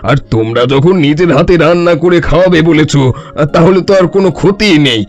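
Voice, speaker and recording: Man acting a sly, high-voiced fake holy man. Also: lively intonation, quick pace. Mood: pleased, grateful. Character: sly fake holy man, sweet-talking swindler, cowardly when caught. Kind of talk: cartoon story voice acting.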